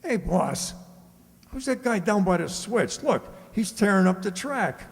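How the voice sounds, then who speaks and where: Guy doing twitchy radio gangster sidekick, high gravelly voice, anxious, roomy reverb w some noise. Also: very animated, a little slow, full character voice. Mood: urgent. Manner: anxious, urgent, blunt tough-guy talk. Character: nervous, loyal male gangster henchman, jumpy and superstitious. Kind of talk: old-time radio drama acting. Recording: hollow reverb with background noise.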